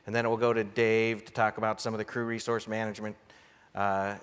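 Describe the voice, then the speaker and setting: Low-voiced moderator in a hall. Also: male voice, little pitch movement, a little fast, smooth. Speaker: panel moderator. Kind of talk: introducing panel speakers. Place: large conference hall. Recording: long hall reverb.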